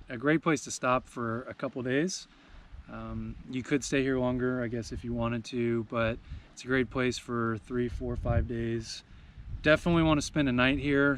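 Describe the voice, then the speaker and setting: A man in his forties talking casually on a beach, recommending a camping spot in a relaxed, enthusiastic way. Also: medium pitch, normal speed. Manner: relaxed, conversational, enthusiastic about scenery. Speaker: travel vlogger. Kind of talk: casual travel vlog chat. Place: outdoors on a beach beside a truck camper. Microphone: camera on a tripod, seated. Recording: outdoor recording with audible background noise.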